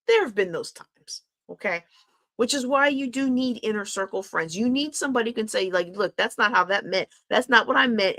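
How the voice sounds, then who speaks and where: A woman's voice: fairly high pitch, fairly bright tone. A middle-aged woman coach giving a candid live-streamed motivational talk, repeating phrases and asking her viewers questions. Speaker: life coach.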